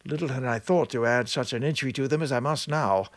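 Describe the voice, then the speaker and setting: A man voicing a brisk and impatient country clergyman. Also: mid-range voice, no room sound, natural voice.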